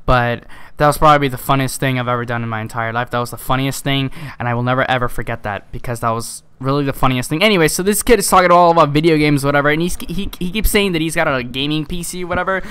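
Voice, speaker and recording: A man's voice: mid-range voice, fairly even intonation, quick pace, fairly bright tone, clear, resonant tone, no room sound. A young man telling a casual story with exasperated, sarcastic, slangy and sometimes profane delivery, acting out dialogue as he goes. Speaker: video creator telling a story.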